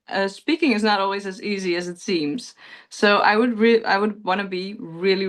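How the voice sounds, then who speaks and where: A young woman with a Dutch accent, a pageant contestant answering interview questions in earnest, chatty talk over a video call, often laughing. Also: mid-range voice. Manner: earnest, chatty, laughs often, relives moments with lively quoting.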